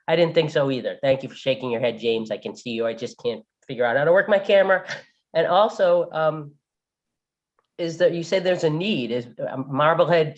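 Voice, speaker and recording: An older grandmother calling into a meeting, flustered and apologetic as she rambles through her questions. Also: low voice. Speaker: caller at a public meeting. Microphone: video call without camera. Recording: dull lo-fi call audio.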